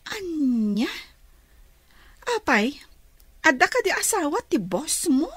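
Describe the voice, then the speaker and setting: A woman with an Ilocano accent playing an excitable, nosy young friend in a radio comedy-drama. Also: high-pitched, takes it slowly, no echo, full character voice. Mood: startled, curious.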